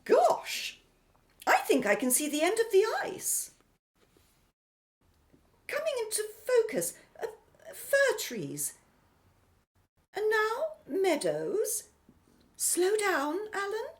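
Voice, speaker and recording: Woman playing plucky lady adventurer, very high pitch, wildly animated intonation, old-fashioned jolly manner, radio drama. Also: takes it slowly, long pauses, exaggerated voice. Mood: amazed. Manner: exclamatory, old-fashioned jolly British period speech. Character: plucky, playful British woman explorer in a flying machine.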